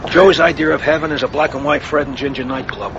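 Earnest troubled priest. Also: male voice, on the high side, fast, creaky, lo-fi sound. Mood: polite, guarded. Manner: earnest, sober. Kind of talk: radio drama acting. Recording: noisy radio play recording.

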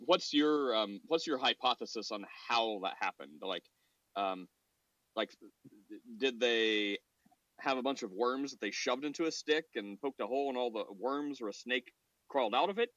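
Bright-voiced man on a dull dry mic. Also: medium pitch, lively intonation, normal speed, dry recording. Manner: teasing, rambling questions. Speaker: podcast host. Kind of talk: casual podcast discussion.

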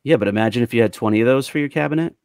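A man with a middle-pitched voice hosts a casual group podcast. He banters informally and steers the segments along. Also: racing, a little mellow, dry recording, studio quality.

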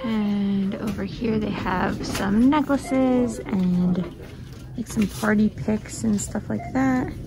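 Chatty woman with a gravelly voice. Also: medium pitch, slow pace. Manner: chatty, gushing over cute items. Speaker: vlog host. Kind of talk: casual shop-with-me commentary. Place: inside a shop. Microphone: handheld phone camera. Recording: noisy shop background.

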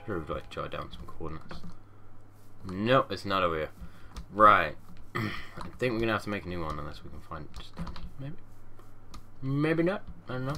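A young man with a deep, low voice chats loosely while he plays, rambling from one thought to the next and mumbling little asides. He sounds a touch nasal and sniffly from a fading cold, talking into a headset mic in a small room. A steady hiss and keyboard clicks sit under his voice. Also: normal speed, pauses often. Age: young adult. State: sniffly, getting over a cold. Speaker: gaming channel host. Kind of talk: casual let's-play commentary.

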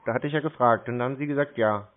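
A man talks over a muffled, tinny phone line at a middling pitch. He sounds hesitant and a little slow on the uptake, rambling through his explanations in casual conversation. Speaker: caller posing as a confused customer.

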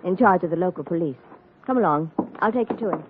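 An actress playing a cool, knowing undercover contact, composed, in an old radio adventure. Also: female voice, on the low side, lively intonation, fast talker, natural voice. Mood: cool, businesslike. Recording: muffled, noisy old radio recording.

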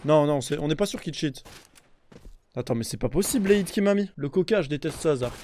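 A young man streaming a shooter game on a headset mic, chatting excitably with his viewers and swearing often. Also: on the high side, brisk, slight background noise. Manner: excitable, swears a lot, talks to chat. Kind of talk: live gaming stream commentary. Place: indoor room with a gaming chair.

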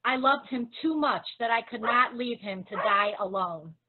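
A woman's voice: on the high side, moderate pace, lightly acted. A woman playing a grieving, devoted follower of Jesus in a dramatic scripture reading. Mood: sorrowful. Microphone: video call. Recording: dull, lo-fi video-call audio.

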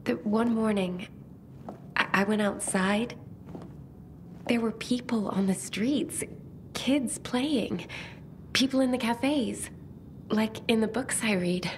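An actress playing a pensive, sensitive young bookish survivor in a video game, speaking in measured, formal phrases. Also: female voice, fairly high pitch, slight background noise. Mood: dreamy, vulnerable. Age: young adult. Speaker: game character. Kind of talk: video game cutscene dialogue.

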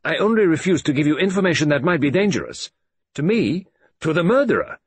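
A precise, sly elderly detective with a lively, lifting voice, played by a man reading aloud. His breathy, husky edge sits close to the mic. Every word is weighed and formal. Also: on the high side, a little fast, dry recording, voice pushed up. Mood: earnest. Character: elderly frail fussy male detective.

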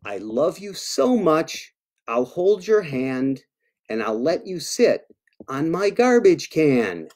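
A man voicing an affectionate monster sweetheart in a picture book, in a slow, sing-song rhyming delivery. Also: on the high side, big pitch swings, a little mellow, full character voice. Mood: doting.

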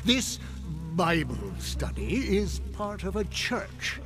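A scheming senior demon speaks in a high male voice that swoops theatrically. He is imperious and scornful, drawing out his words as in a radio play, with music underneath. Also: very animated, exaggerated voice. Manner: imperious, drawn-out, deliberate delivery. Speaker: actor in radio drama. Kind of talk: theatrical radio drama acting.

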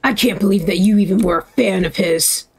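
A woman's voice: medium pitch, brisk, crowd noise, close to an everyday voice. A woman voicing a brash, foul-mouthed, hot-tempered young male anime hero in a joke fan dub, barking scornful orders. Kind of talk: improvised joke fan dub while gaming.

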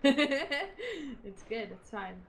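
A woman's voice: sits high, very animated, drawn-out words, a crowd in the background. A woman chatting casually and playfully while gaming, swearing freely as she banters. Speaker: gamer in a multiplayer match. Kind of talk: casual gameplay chat with swearing. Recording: gunfire and artillery game noise behind. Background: game battle sounds, gunfire.

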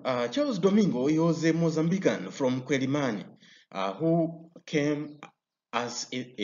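A man with a Malawian English accent teaching a recorded history lesson over slides. He explains step by step and often pauses on "uh". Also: medium pitch. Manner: explanatory, many "uh" fillers and restarts. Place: indoor room. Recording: slightly dull lo-fi sound.

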